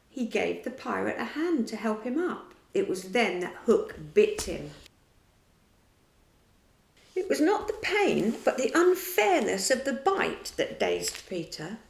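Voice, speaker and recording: A woman reading a children's storybook aloud in a slow, expressive way. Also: a little high, creaky, roomy sound.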